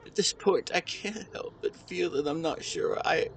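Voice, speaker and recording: A man playing a grieving, tender husband in an intimate audio drama monologue, speaking haltingly and earnestly to his late wife. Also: fairly high pitch, a bit bright, a little hiss or hum, close to an everyday voice. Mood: grieving, anguished. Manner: halting, earnest, emotional delivery with pauses. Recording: outdoor ambience with birdsong behind. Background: birdsong and nature ambience.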